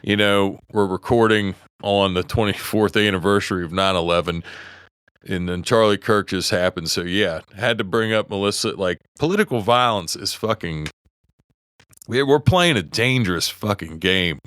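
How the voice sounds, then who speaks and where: Profane West Virginian man, low voice. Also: pushed and bright, clear, resonant tone, no echo, clean studio sound. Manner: heated, profane, halting with restarts. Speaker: podcast co-host. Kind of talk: casual opinionated chat.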